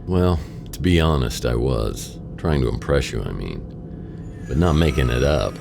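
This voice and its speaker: An actor playing a wisecracking, self-deprecating private detective in a noir radio drama, with a deadpan delivery. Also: male voice, rumbling low, measured pace, vocal fry, background noise, full character voice. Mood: wry.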